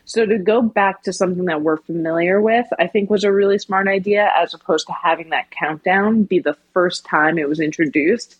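A woman's voice: lowish voice, husky, no room sound, highs cut off. A woman co-hosting a podcast, weighing her words thoughtfully as she chats about a story. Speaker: podcast co-host. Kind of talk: casual discussion of a book.

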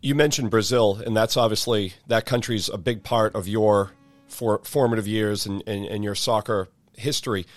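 A man's voice: on the low side, fairly even intonation, moderate pace, no room sound. A man hosting an interview, asking enthusiastic, leading questions. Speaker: interview host.

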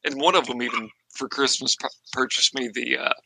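A man's voice: mid-range voice, fairly even intonation, a little fast, creaky, sharp tone, husky, low-quality recording. A man hosting a podcast interview in a casual, conversational style. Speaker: podcast host.

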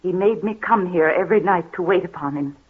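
An actress playing a frightened, tormented heiress in a 1930s gothic radio mystery, with halting, dramatic delivery. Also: female voice, on the low side, breathy edge. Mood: anguished, confessing. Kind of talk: 1930s old time radio acting. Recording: muffled, scratchy 1930s radio recording.

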